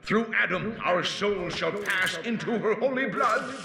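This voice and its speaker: An actor playing a zealous cult preacher, delivering a grandiose, incantatory sermon for a video game. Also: male voice, sits high, unhurried, gravelly, a crowd in the background. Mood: reverent. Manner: incantatory, grandiose, rising to cries. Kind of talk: theatrical sermon.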